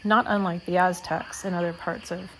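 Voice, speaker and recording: A woman with a fairly low voice speaks as a meditation guide. She is measured and deliberate, placing short phrases between pauses. Clear nature noise, with birds and crickets, runs behind her. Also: fairly even intonation, a bit bright, poor audio. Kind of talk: guided meditation talk, spoken reflectively.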